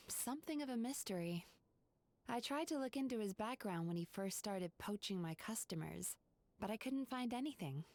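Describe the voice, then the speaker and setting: A woman voicing a calm, composed female spirit therapist who talks in a measured, reserved way, in game voice acting. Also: medium pitch, no echo, lightly acted.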